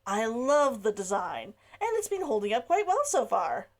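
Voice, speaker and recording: A woman's voice: on the high side, sing-song, normal speed. A woman reading the part of a proud young noblewoman who stays polite while holding back frustration. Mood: tense-polite.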